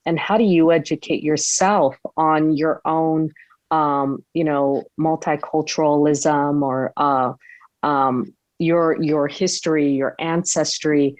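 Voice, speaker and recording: Female workshop speaker, low register, unhurried and halting, conversational, dry video-call sound. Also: steady tone, a little mellow, no echo. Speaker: guest speaker leading a workshop. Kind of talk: conversational presentation on a video meeting. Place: online video meeting.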